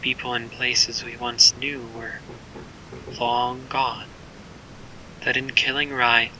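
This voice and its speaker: A man playing an earnest adventurer, narrating his journey in measured, dramatic first-person audio fiction. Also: mid-range voice, flat delivery, bright, forceful tone, slight background noise, poor audio. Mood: solemn.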